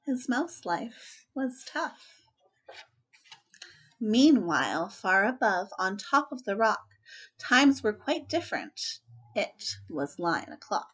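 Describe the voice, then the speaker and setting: A woman hosting a children's story time, speaking and reading a picture book aloud in an expressive, deliberate storytelling style. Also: medium pitch, husky.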